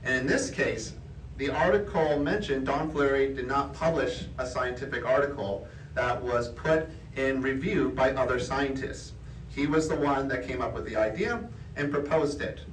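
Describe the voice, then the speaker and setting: A man's voice: medium pitch, normal speed. A man giving an informal public lecture, explaining things in a conversational way to a live audience. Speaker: presenter giving a geology talk. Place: indoor room before a live audience. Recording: roomy, distant mic, faint background noise.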